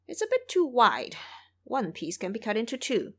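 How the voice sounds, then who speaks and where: A woman's voice: a little high, wildly swooping pitch, normal speed, fairly bright tone, exaggerated voice. A woman voicing a brash, boastful male monkey king with a commanding, exuberant manner in an audio drama. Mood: brisk, eager. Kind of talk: audio drama character acting.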